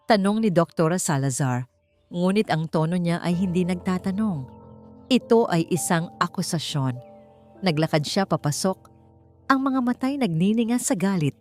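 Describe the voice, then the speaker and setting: A woman narrating a dramatic story as an audiobook, in measured, emotive short phrases. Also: fairly low pitch.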